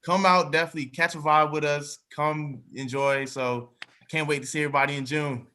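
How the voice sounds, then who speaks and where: A man's voice: a little high, level tone, brisk, pushed and bright. A man speaking casually as a performer and interview guest, promoting an event. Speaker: singer and cast member, interview guest.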